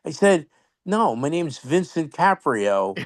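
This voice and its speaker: A man with a New York accent telling animated stories in a casual online chat, full of restarts and chuckles. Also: fairly high pitch, slow, no echo, clean studio sound. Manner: animated, laughing anecdotes with many restarts. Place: online video meeting.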